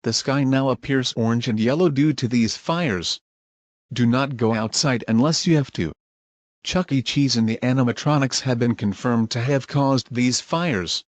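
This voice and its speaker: A man acts as a grim emergency broadcast announcer in an amateur audio drama. He reads scripted alerts formally and with measured care, in a mid-pitched voice with a very dry, close sound. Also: no room sound, natural voice. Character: grim emergency alert announcer, dutiful, irritable when crossed.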